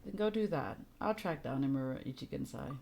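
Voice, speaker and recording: Low, creaky-voiced woman live streaming. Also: expressive, fairly quick, a little mellow, a little hiss or hum. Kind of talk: casual game stream commentary and reading along. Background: game music.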